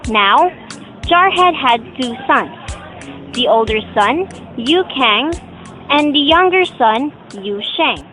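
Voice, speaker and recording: A woman's voice: sits high, animated, slow, bright, forceful tone, soft background music, low-quality recording. A young woman reading scripted storybook narration for an amateur student audio drama. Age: young adult.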